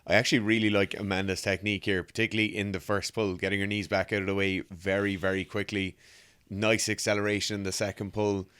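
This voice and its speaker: Deep, smooth-voiced male co-host. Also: level tone, fairly bright tone, no echo, clean studio sound. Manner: measured and analytical, breaking down technique. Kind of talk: casual technical commentary.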